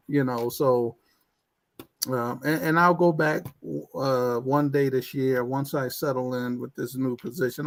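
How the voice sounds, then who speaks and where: A man's voice: mid-range voice, a bit bright. A man talking as a guest in a casual online chat, stammering with many restarts. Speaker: guest IT professional.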